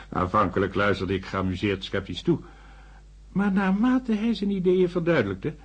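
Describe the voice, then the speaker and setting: An actor playing an earnest, thoughtful doctor who narrates a science fiction radio drama. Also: male voice, a little high, big pitch swings, a little slow, breathy edge, exaggerated voice. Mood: reflective, confiding. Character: male doctor narrating, earnest, sceptical but sympathetic. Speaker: narrator and character.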